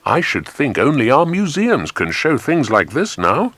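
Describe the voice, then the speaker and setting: Man voicing a hearty, brave grown-up adventurer, animated delivery. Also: medium pitch, measured pace, no echo, pitched above the performer's own voice, heavily acted. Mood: awed, thrilled.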